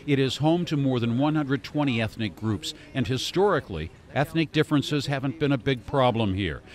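A man presenting a television news feature in a measured, formal delivery. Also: mid-range voice, animated. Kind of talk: scripted news narration.

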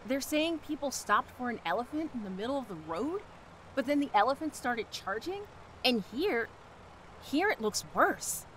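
A woman playing a brisk, no-nonsense animal expert in an audio drama. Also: high voice, very animated, fairly bright tone, a little hiss or hum, poor audio. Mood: puzzled.

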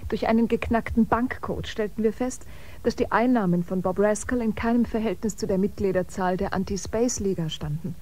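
An actress playing a self-possessed musician bound for Mars in a science-fiction radio play, earnest and articulate. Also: female voice, mid-range voice, brisk, darkish tone, lightly acted. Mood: composed.

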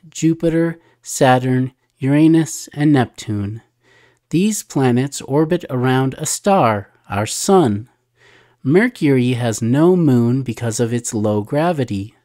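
A storybook narrator with a mid-pitched voice reads aloud for children. The delivery is slow and measured, with each phrase set out clearly. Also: a little mellow. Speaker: storybook reader.

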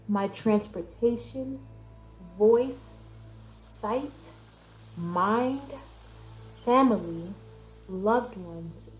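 Woman doing guided meditation, really slow n soothing, short phrases w pauses, bit echoey, music behind. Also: mid-range voice, a little mellow. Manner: soothing, deliberate, pausing between short phrases. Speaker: meditation guide and business coach. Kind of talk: guided meditation with spoken affirmations. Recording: roomy, echoing voice recording. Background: background music.

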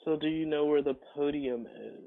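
A man working in cinema customer service, speaking politely and haltingly on a phone call, often repeating himself. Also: fairly high pitch, gravelly, a crowd in the background. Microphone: telephone call. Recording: tinny muffled phone line.

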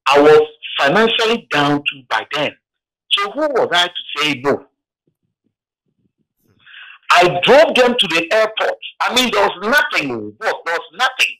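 A man calls in to a morning radio show over a phone line. He speaks in a heavy, halting way and often restarts. His voice is bright and swings widely in pitch. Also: very animated. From Ghana. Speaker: caller.

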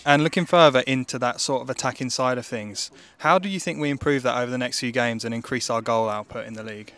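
A man's voice: medium pitch, quick pace, a bit bright. A man interviewing a football player after a match, asking long, composed questions. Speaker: club media interviewer.

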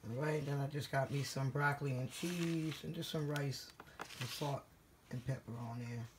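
A woman's voice: rumbling low, steady tone, moderate pace, background noise. A tired woman after a long workday, chatting casually as she walks through a home recipe, filming on a handheld phone in her kitchen. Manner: chatty, repeats "all right?" and "guys". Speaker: home cook vlogger. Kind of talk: casual cooking walkthrough. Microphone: phone held in hand.

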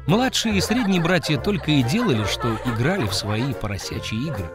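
Children's storyteller, scripted fairy tale, highly animated intonation, dramatic delivery, clear musical backing. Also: brisk. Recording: music behind the voice.

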